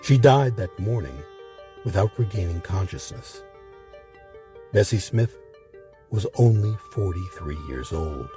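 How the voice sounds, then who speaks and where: A man hosting a history series, reading scripted documentary narration with measured, emphatic phrasing. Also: deep voice, expressive, warm tone, faint music behind.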